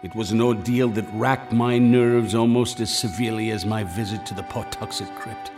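A man acting in a radio drama as a grave, resolute older doctor and family friend, with a formal, deliberate delivery. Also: lowish voice, steady tone, over music, close to an everyday voice. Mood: grave, weary. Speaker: actor, also narrating.

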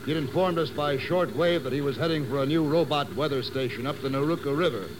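A courteous military colonel played by a man in a 1950s radio drama. His voice is slightly high, formal and matter-of-fact. Clear noise covers the old recording. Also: fast talker, lightly acted.

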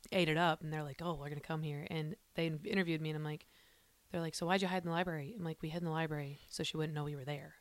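A woman in a casual chat, a self-described fast talker who rambles a little nervously. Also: low voice, fairly even intonation, no echo.

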